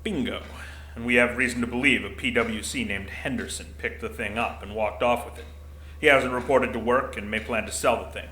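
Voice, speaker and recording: A man playing a gruff, middle-aged, desk-bound security commander in an audio drama, blunt and dryly bureaucratic. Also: medium pitch, steady tone, fairly quick, bright, forceful tone, close to an everyday voice. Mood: dry, businesslike. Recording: roomy echo, faint noise.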